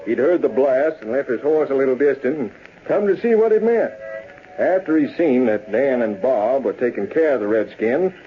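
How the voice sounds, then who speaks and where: A man's voice: mid-range voice, lively intonation, moderate pace, soft background music, natural voice. A man playing a folksy American old-west storyteller in an old-time radio western, speaking in a colloquial drawl. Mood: folksy, animated. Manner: drawling, colloquial, chuckling cowboy yarn-spinning. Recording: tinny, noisy old radio broadcast recording.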